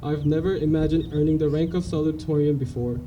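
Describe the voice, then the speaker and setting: Earnest young Korean cadet, distant. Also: male voice, a little high, fairly even intonation, dark tone. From South Korea. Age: young adult. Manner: earnest, halting with frequent restarts. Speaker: salutatorian cadet. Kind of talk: graduation speech. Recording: distant mic, light noise.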